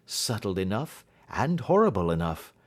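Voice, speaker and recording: A man voicing a keen, assured detective in an audiobook, explaining things precisely and deliberately. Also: on the low side, big pitch swings. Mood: grim. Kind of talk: audiobook character dialogue.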